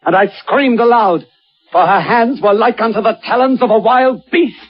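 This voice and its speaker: A man's voice: very high voice, normal speed. A muffled vintage radio horror drama with music behind the voice. a haunted sailor who recounts his ordeal at sea with grave, theatrical suspense and an edge of panic. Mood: rising horror.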